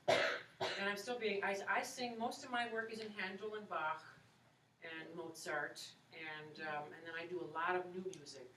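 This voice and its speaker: Bright-voiced woman in an echoey hall. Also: sits low. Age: 40s. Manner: chatty, encouraging, many "you know"s. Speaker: opera singer and voice teacher, guest speaker. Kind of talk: casual talk and Q&A with students. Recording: echoey room, far from mic, faint hiss.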